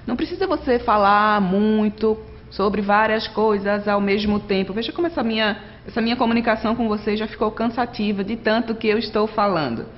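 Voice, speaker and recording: A woman's voice: mid-range voice, brisk. Brief: woman teacher, chatty recorded lecture, slightly muffled audio, faint hum behind. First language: Portuguese. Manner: conversational, frequent tag questions, addresses students directly. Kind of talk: recorded distance-learning lecture.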